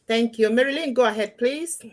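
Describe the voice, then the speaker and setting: A woman's voice: fairly high pitch, fairly quick. A woman hosting an online panel livestream, giving announcements and handing over to guests. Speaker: host. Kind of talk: moderating a livestream. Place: remote online livestream call.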